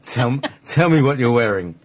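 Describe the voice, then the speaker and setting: A man's voice: mid-range voice, animated, moderate pace, a little hiss or hum. A man hosting a radio show, telling stories casually in a rambling, hesitant, jokey manner. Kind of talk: casual conversational storytelling.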